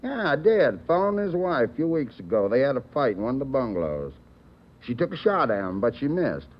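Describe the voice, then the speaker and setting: An actor playing a gruff man who manages a run-down bungalow court, talking bluntly with rough grammar in 1940s radio acting. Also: mid-range voice, very animated, brisk. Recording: muffled 1940s radio broadcast.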